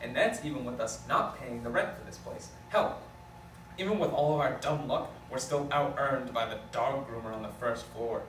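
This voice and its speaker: A man's voice: medium pitch, normal speed, a bit bright, close to an everyday voice. A man playing a blunt, teasing, easygoing lawyer in a comedic script read. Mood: bluntly mocking. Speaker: actor at a script table read. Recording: echoey room, distant mic, noisy.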